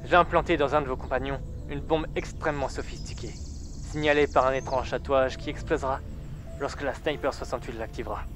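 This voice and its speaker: A man's voice: medium pitch, steady tone, a little fast, music in the background, natural voice. A man playing a remorseful military leader who speaks formally and solemnly, as in a recorded confession for an audio drama. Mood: solemn regret. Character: remorseful male lieutenant, doomed last rebel leader. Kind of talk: scripted amateur audio drama monologue.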